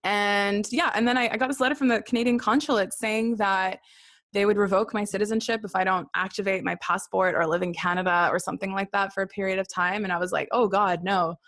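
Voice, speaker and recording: A woman's voice: mid-range voice, fairly even intonation, brisk, fairly bright tone, dry recording, clean studio sound. A woman from Australia, raised partly in Israel, telling personal stories casually and candidly as an interview guest. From born in Australia, raised in Israel and Brisbane. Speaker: podcast guest, singer-songwriter.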